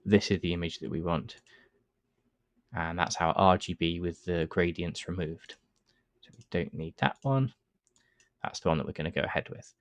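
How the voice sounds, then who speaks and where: A man with a cold and a stuffy nose, calmly talking through a software tutorial step by step with frequent uh fillers. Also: sits low, normal speed, pauses often, no room sound. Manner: relaxed step-by-step explaining with many uh fillers. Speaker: astrophotography tutor. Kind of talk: screen-recorded software tutorial.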